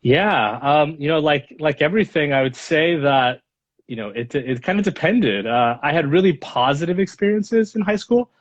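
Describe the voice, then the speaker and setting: A man's voice: fairly high pitch, expressive, moderate pace. A man in his thirties from Los Angeles, whose first language is Spanish, answers interview questions in a reflective way with many fillers. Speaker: guest speaker, lawyer. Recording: dull lo-fi audio.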